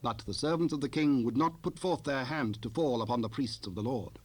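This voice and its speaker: A man narrating a dramatized scripture recording in a formal, measured storytelling manner. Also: medium pitch, slightly rough, close to an everyday voice.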